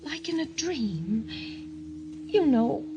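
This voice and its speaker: A woman's voice: high-pitched, big pitch swings, takes it slowly, background noise, full character voice. A woman playing a fluffy, timid wife who recounts a nightmare in an old-time radio mystery, naive and dreamy. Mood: nervous chatter. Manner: naive chatter, dreamy first-person narration. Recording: muffled vintage radio recording with music.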